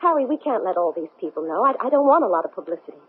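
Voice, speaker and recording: A woman's voice: mid-range voice, sing-song, rapid-fire, slightly rough. A woman playing a desperate, insistent astronaut possessed by an alien, urgent and pleading, in a 1970s radio drama. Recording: muffled, narrow-band old radio.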